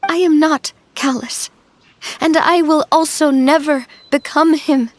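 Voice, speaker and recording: A woman playing an earnest young leader in an anime game, gentle and measured, with a heartfelt vulnerability. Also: high-pitched, steady tone, slight background noise. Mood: earnest, resolute. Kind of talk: anime game character acting.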